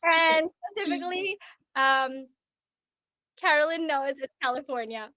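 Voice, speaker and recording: A woman from Italy chatting casually as a panel guest, animated and laughing between phrases. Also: high voice, measured pace, no echo, rough recording. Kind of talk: casual chat.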